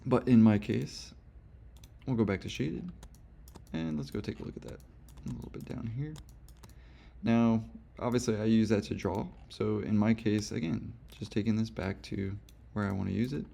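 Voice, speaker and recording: A young man with a hoarse, gravelly voice talks through a software tutorial in a loose, rambling way, full of fillers and quick self-corrections. His voice sits a little low, and the soft clatter of a keyboard and mouse clicks carries underneath. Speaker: instructor. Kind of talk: screen-recorded software tutorial.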